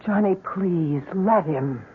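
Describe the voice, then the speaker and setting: A woman's voice: on the low side, animated, takes it slowly, slight background noise. An actress playing an anxious, pleading young woman in an old-time radio drama. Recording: muffled old radio broadcast recording.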